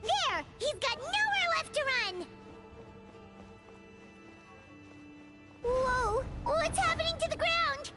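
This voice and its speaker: A woman voicing a tiny, excitable female fairy companion in a video game, who talks in a childlike way and calls herself by name. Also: very high-pitched, measured pace, exaggerated voice. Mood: excited. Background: game music.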